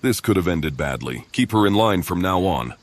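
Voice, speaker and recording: An actor playing a gruff, stoic male adventurer in a fantasy game, curt and matter-of-fact. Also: low voice, lively intonation, normal speed. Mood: stern.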